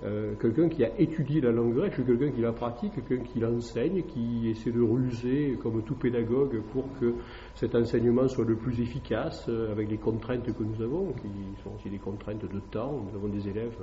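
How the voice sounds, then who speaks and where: Classics teacher, male, halting and thoughtful delivery, faint room hiss behind. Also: medium pitch. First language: French. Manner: hesitant, many fillers and tag questions. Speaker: classics teacher giving a talk. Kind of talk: public lecture to an evening audience. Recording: echoey dull room recording.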